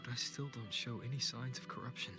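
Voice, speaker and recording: An actor playing a calm young male hero in a game cutscene, speaking in a steady, reassuring way. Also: mid-range voice, fast, lightly acted. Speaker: protagonist. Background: game music.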